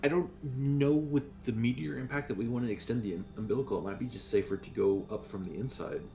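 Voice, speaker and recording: A man's voice: mid-range voice, fast, gravelly, mellow. A man playing a tabletop game, casually reasoning through the next move in conversation.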